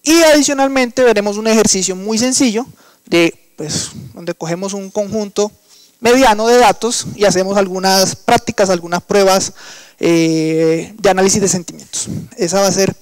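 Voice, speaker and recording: A man's voice: sits high, normal speed, smooth, dry recording, rough recording. A young man with a coastal Colombian accent, teaching a workshop in an explanatory lecture style with frequent fillers and restarts. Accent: Colombian Caribbean coastal Spanish. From Colombian Caribbean coast. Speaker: workshop instructor. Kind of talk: online lecture.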